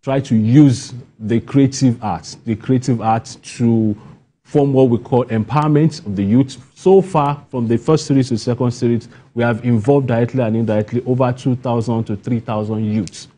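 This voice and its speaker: A man with a Nigerian accent answers questions in a broadcast interview. His voice is soft-edged and mellow. He sounds earnest and leans on points, repeating phrases for emphasis. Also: medium pitch, steady tone, moderate pace. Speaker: playwright and guest.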